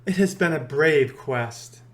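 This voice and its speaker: A woman's voice: low voice, slow, voice pushed down. A woman voicing a chivalrous male knight who speaks in a formal, courtly way. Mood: wistful.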